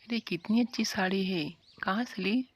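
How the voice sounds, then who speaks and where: A woman playing a crafty, smug village sister in an animated moral story, with a sly, insistent manner. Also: mid-range voice, normal speed, a little hiss or hum, lightly acted. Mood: curious.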